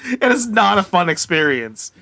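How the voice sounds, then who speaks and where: Male co-host, bright forceful voice on the higher side, profane and excitable in loose casual chat. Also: normal speed, gravelly. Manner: animated, profane, giggly. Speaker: podcast co-host.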